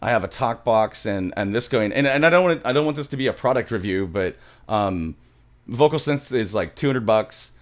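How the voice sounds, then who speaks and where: A man's voice: on the low side, animated, moderate pace. A middle-aged man giving a casual music production tutorial, chatting enthusiastically and interrupting himself as he goes, close on a studio mic. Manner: rambling, enthusiastic, self-interrupting. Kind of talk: casual screen-share tutorial chat. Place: small indoor home studio. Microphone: close studio microphone, headphones on.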